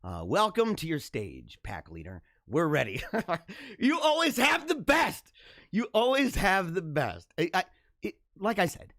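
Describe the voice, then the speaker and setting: A young man hosting a casual live-streamed talk show, with a slightly high voice that swoops up and down a lot. He chuckles often and repeats himself. The sound is dry and close, with no room echo. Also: a bit bright. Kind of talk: casual live-stream chat reading viewer comments.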